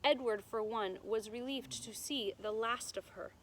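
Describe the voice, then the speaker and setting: A woman's voice: a little high, a little slow. A woman teacher reading a storybook aloud to children, with emphatic delivery and simple explanations. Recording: noticeable background noise.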